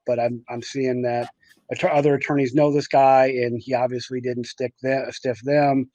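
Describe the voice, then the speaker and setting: Dull-sounding, dry recording of a halting man. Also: mid-range voice, a little mellow, dry recording. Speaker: interviewed client, family law attorney. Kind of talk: casual interview talk.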